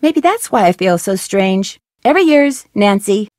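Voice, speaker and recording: A woman's voice: mid-range voice, very animated, measured pace, darkish tone, no room sound, clean studio sound. A young woman voicing a curious, upbeat female detective who guides the player in a friendly, instructive way. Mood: musing. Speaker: game narrator and guide. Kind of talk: video game voice acting.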